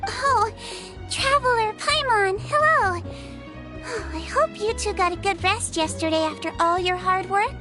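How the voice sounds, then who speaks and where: A tiny, kind-hearted creature nurse, speaking very high and softly. She talks gently and sweetly. Game music plays loudly behind her. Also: measured pace. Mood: cheerful. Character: small kind female Melusine head nurse. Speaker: head nurse. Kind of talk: game cutscene voice acting.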